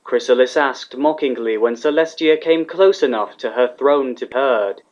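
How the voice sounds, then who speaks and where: A man's voice: mid-range voice, normal speed, darkish tone. A man reading scripted fiction as the narrator of a dramatic story. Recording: slightly dull, lo-fi recording.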